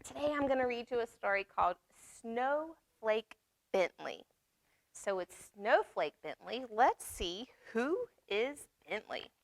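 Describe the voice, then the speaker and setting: A woman reading aloud at storytime for young children, expressive, pausing to explain and ask questions. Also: on the high side, creaky, no echo. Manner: expressive, asks listeners questions and explains words. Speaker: children's library storytime reader.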